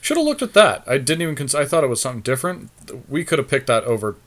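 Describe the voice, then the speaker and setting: A man's voice: mid-range voice, very animated, fast talker, a bit bright. A man co-hosting a gaming podcast, casually talking through card game plays with friends.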